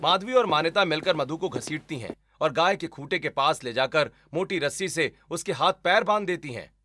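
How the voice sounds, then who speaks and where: Bright, forceful male story narrator. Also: fairly high pitch, expressive, moderate pace, a little hiss or hum. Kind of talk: scripted dramatic story narration.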